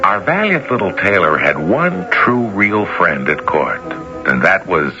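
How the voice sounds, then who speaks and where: Low voiced guy narrating a radio tale, very expressive host, music blaring under him. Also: a little slow. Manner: theatrical, addresses listeners directly. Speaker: host and storyteller. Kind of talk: scripted old-time radio story narration. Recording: muffled 1970s radio recording. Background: loud music.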